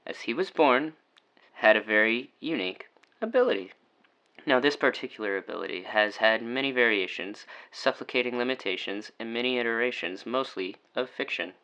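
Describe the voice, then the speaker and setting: A man's voice: mid-range voice, animated, normal speed, bright, forceful tone, lo-fi sound. A man playing a brooding, sardonic storyteller in an audio drama, narrating in a wordy, formal, theatrical way. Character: brooding, sardonic male storyteller of a dark tale.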